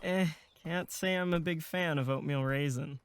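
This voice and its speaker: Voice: mid-range voice, normal speed, smooth, close to an everyday voice. A voice actor playing an earnest, casual young male camp counselor in a horror audio drama. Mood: casual, supportive.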